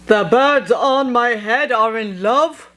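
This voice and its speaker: A woman's voice: a little high, slow pace, fairly bright tone, a little hiss or hum. A woman reading a children's picture book, voicing an anxious, exasperated elephant in a stammering, dramatic way. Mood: bewildered. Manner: stammering, exclaiming, dramatically dismayed. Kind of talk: expressive children's read-aloud.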